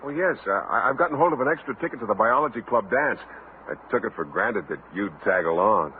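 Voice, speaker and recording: A man's voice: on the low side, lively intonation, quick pace, lightly acted. Mild, stammering bachelor man played by an actor, vintage radio sitcom, narrow sound with faint hiss. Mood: flustered. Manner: hesitant, stammering. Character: awkward, oblivious, mild-mannered bachelor man. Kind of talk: 1950s radio sitcom acting. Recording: thin 1950 radio broadcast with hiss.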